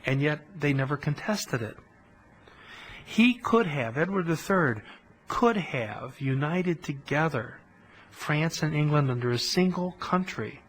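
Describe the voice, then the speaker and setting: A man giving a conversational history lecture on a podcast, telling it as an animated story with colloquial asides. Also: fairly high pitch, a little slow, low-quality recording.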